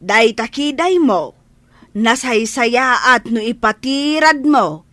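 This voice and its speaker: A woman's voice: fairly high pitch, lively intonation, takes it slowly, fairly bright tone, dry recording. A woman with a Filipino Ilocano accent playing a cheeky, teasing household servant in a comedy radio drama.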